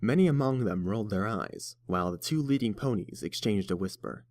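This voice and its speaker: A man's voice: on the low side, expressive, brisk, a little mellow, no room sound. Man narrating a story, dry close sound.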